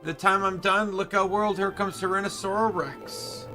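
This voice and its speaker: A man's voice: high voice, steady tone, moderate pace, pushed and bright, faint music behind. A man voicing a menacing, gluttonous female dinosaur demon in a gloating, sickly-sweet auntie manner. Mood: smug, menacing.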